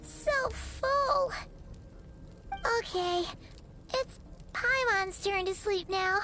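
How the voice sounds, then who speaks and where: A woman's voice: extremely high pitch, drawn-out words, over music, heavily acted. A woman voicing a tiny childlike fairy companion in a video game, drowsy and whiny. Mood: sleepy, stuffed.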